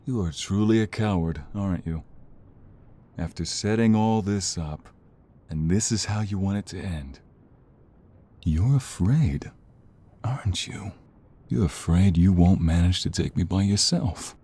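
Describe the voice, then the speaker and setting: A man's voice: sits low, unhurried, pauses often, dark tone, breathy edge, exaggerated voice. A man playing a defiant, wounded mobster who protects the woman he loves, strained and emphatic in an audio roleplay. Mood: scornful. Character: defiant, wounded young male mobster protecting his girlfriend. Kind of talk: audio roleplay drama acting.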